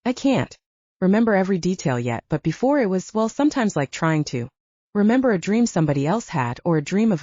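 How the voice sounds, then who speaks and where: A woman voicing an earnest, haunted young male half-werewolf in an audiobook, speaking in a halting, reflective way. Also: lowish voice, dark tone, no room sound. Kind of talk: audiobook character dialogue. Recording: dull, lo-fi, narrow sound.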